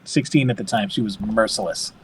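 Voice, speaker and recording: Animated fifty-something man telling stories. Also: on the low side, brisk, poor audio. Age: 50s. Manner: animated storyteller, laughs often, acts out quotes. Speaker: podcast guest. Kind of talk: casual chat.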